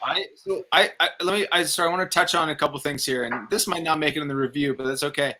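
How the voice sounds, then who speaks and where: A man's voice: on the high side, fast, pushed and bright. A man hosting a casual film review, ranting in a blunt, emphatic way with heavy swearing. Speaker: film review show host. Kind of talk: casual ranting movie commentary.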